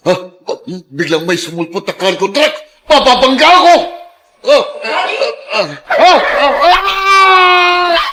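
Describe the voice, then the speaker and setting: A man's voice: very high-pitched, sing-song, takes it slowly, sharp tone, slight background noise, full character voice. A man playing a father behind the wheel in a radio drama. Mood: panicked. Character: Irene's father, casual driver suddenly in mortal panic. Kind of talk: Filipino radio drama acting.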